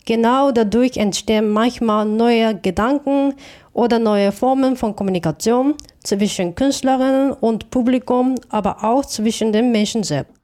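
A woman from Japan with a Japanese accent, giving reflective interview answers in a thoughtful, halting way with self-corrections. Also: fairly high pitch, darkish tone. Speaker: interview guest, artist.

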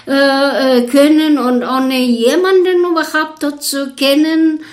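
An older woman from Bulgaria with a Bulgarian accent, a violinist recalling her past in an interview in slow, hesitant German full of fillers. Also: sits high, fairly even intonation. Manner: hesitant, many fillers, searching for German words. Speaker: orchestra violinist. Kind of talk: interview recollection.

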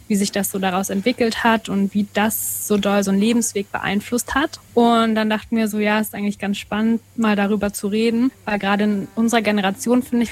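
A woman in her twenties from eastern Germany, co-hosting a podcast and chatting loosely with lots of fillers. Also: medium pitch. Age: 20s. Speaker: podcast co-host. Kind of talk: casual conversational interview.

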